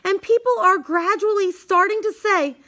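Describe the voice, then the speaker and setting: A woman reading a rhyming children's picture book aloud in a measured, singsong way. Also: very high-pitched, pushed and bright. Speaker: storybook reader.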